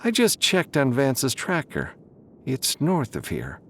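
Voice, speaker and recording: A confident, teasing freelancer woman from a space western , voiced by a male narrator. The delivery is lifted in pitch, playful and assertive, with faint noise behind it. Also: huge pitch swings, slow, a bit bright, heavily acted. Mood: matter-of-fact.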